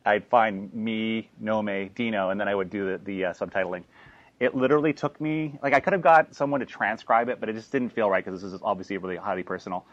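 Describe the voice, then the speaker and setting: Male filmmaker, low voice, rambling with restarts, muffled lo-fi sound. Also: fairly quick, dry recording. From Canada. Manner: rambling, with frequent restarts and fillers. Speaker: filmmaker presenting his documentary. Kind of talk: talk to an audience after a screening. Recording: dull lo-fi recording.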